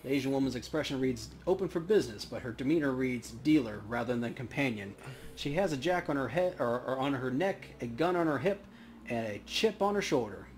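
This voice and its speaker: A man's voice: mid-range voice, expressive, a little fast, slight background noise. A man hosting a let's play, casually commenting and reading game text aloud.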